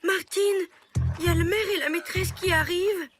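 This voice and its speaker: A woman voicing an excitable schoolchild in a French animated series, with exclamatory delivery. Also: very high-pitched, normal speed, music in the background. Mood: worried. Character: excitable schoolchild helper in cartoon.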